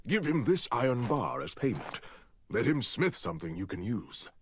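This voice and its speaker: An actor playing an authoritative older Spartan mentor with a husky voice and measured, theatrical delivery in a video game. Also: male voice, medium pitch, big pitch swings, a bit bright, slight background noise. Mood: approving. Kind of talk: scripted video game drama.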